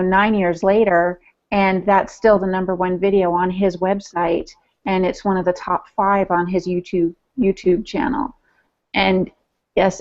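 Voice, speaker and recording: A woman's voice: mid-range voice, a little mellow. A woman co-hosting a podcast over an online call, telling stories in a casual, conversational way. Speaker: podcast co-host. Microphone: online video call. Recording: dull, lo-fi internet call audio.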